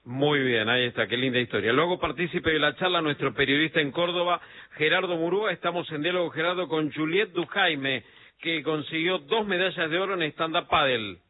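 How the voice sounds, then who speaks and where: Argentine guy hosting radio, friendly chatty interviewer, kinda high voice, narrow phone-ish sound. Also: brisk. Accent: Argentine Spanish. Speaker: radio host.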